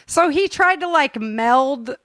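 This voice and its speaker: A woman hosting a podcast in casual chat, telling stories in an animated, giggly way. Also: sits high, slow pace, background noise. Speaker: podcast host and audio drama creator.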